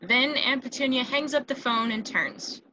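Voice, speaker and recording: Bright, high-voiced woman narrating a script. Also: moderate pace, dull audio. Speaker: stage-direction narrator. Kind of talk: scripted narration of a screenplay, with small stumbles. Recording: noisy home recording with clicks.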